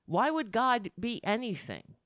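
A woman's voice: a little high, sing-song, measured pace, fairly bright tone, no room sound, clean studio sound. A woman hosting a livestreamed political talk show, talking in a chatty, rambling and sardonic way.

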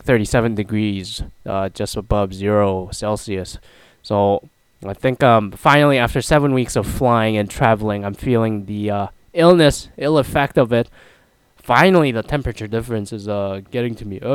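A man's voice: lowish voice, clear, resonant tone, no room sound. A man hosting a casual solo talk show, rambling and self-mocking, with a nasal, stuffy voice and a throat that is half gone. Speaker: podcast host.

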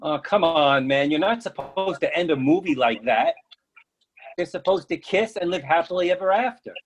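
A man's voice: fairly high pitch, expressive, moderate pace, slightly rough. A man acting an eager, oblivious friend with an insistent, earnest manner in a readers theatre comedy. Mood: indignant. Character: eager, oblivious male friend pushing food, stubbornly cheerful.

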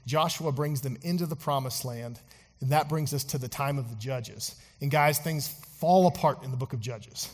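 A man preaching a sermon in a church, talking conversationally with fillers and asides to the congregation. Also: mid-range voice. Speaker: pastor. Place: church sanctuary. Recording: long hall reverb from a church.

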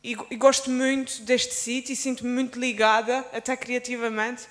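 A woman's voice: on the high side, flat delivery, moderate pace. A woman from the Azores with an Azorean Portuguese accent, speaking as a panelist in a discussion, hesitant and often restarting her words. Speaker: panelist, stage performer. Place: public event space. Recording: long hall reverb.

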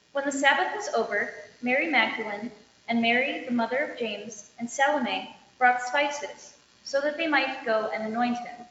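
A woman reading scripture aloud as a lector, measured and declarative, with emphatic openings to phrases. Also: sits high, bright, forceful tone. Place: large reverberant indoor space. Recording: echoey reverberant hall, dull, faint noise.

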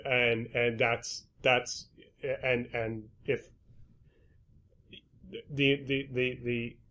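Man, podcast co-host, mid pitch, halting speech, repeats words. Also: level tone, bright, forceful tone. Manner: halting, repeats words while searching for his point. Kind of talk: casual conversational chat.

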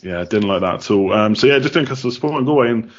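Chatty low-voiced British-raised man. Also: expressive, rapid-fire, lo-fi sound. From United Kingdom. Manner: chatty, many ums and restarts. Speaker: podcast guest. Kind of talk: casual interview answers.

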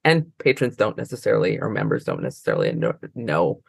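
A woman's voice: rumbling low, wildly swooping pitch, fast talker, vocal fry, slightly rough, no echo, studio quality. A woman answering informally as a librarian guest in an interview, circling through her explanations with many false starts.

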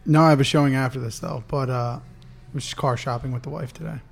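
A man's voice: mid-range voice, steady tone, fast, gravelly. A married man chatting easily on a podcast, rambling and filling his talk with "like". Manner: easygoing, rambling, says "like" a lot. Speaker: podcast co-host. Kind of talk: casual podcast chat.

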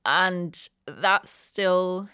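A woman's voice: lowish voice, drawn-out words, pushed and bright, clear, resonant tone, dry recording, studio quality. A Scottish woman co-hosting a podcast, talking thoughtfully and self-questioningly about her own choices. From Scotland. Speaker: podcast co-host. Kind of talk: reflective personal narration.

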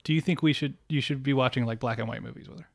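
A man co-hosting a podcast with profane, excitable banter. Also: mid-range voice, racing, gravelly, dry recording, clean studio sound. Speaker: podcast co-host. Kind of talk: casual chat.

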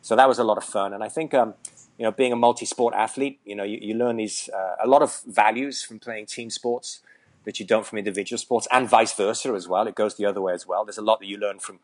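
A man's voice: on the low side, fairly even intonation, fast talker. A Welsh man, around his forties, chatting casually as an interview guest, joking and rambling with lots of fillers and restarts. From Wales. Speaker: tennis coach, guest.

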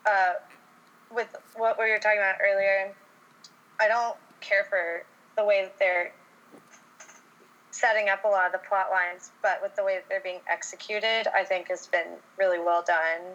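A woman's voice: medium pitch, little pitch movement, moderate pace, fairly bright tone, muffled recording. A woman from Texas chatting casually on a podcast, easygoing and rambling. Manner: easygoing, rambling, lots of ums. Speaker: podcast co-host.

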